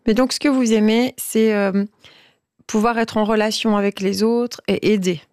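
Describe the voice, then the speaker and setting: Woman, radio host, encouraging questions, gently probing, dry close sound. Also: mid-range voice, steady tone, normal speed, clear, resonant tone, no room sound. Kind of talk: radio interview.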